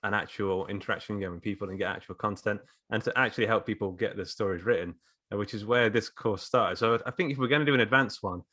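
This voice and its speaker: A man's voice: on the low side, quick pace, pushed and bright. A man who teaches writing, speaking casually as a guest in a podcast conversation.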